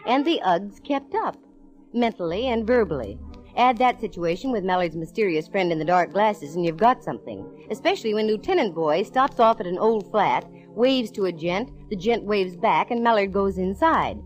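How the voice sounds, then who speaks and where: An actress playing a confident, nosy private eye in a breezy, slangy 1940s radio style. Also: female voice, medium pitch, expressive, moderate pace, lightly acted. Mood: wry narrating. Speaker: lead actress in a radio detective serial. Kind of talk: 1940s radio acting, hard-boiled narration and dialogue. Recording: vintage radio show, music behind.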